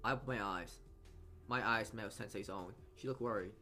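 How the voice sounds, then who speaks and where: Male let's player, low register, reads story text aloud, trips and restarts, game music underneath. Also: a little fast, sharp tone. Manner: stumbles and corrects himself while reading. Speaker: let's player reading a visual novel aloud. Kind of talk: reading game narration aloud with asides.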